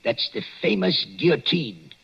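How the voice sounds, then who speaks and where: A raspy, nervous old watchman. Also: fairly high pitch, sing-song, a little slow, heavily acted. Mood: uneasy. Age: older. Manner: hesitant, hushed, full of "uh"s. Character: old nervous male waxworks night watchman. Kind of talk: 1940s radio acting. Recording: dull old 1940s radio broadcast with music.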